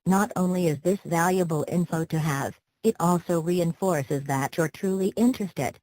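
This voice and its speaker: Female narrator, low register, dry close sound, for scripted how-to tips with a wry, light touch. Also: moderate pace, darkish tone, dry recording, poor audio. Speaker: narrator of a career advice video.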